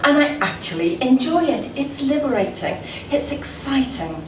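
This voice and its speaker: A woman's voice: high voice, sing-song, normal speed. Distant, echoey woman giving a prepared speech. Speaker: club president giving an after-dinner address. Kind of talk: prepared public speech, storytelling. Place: indoor gala dinner room before an audience. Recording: echoey room, far from mic, noisy.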